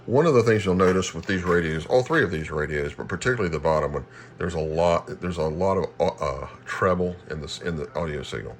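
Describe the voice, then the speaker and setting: A very low-voiced man talks through a casual equipment demonstration. He speaks haltingly, thinking aloud as he goes, with clear static and hiss behind him. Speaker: radio hobbyist demonstrating equipment. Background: shortwave radio noise.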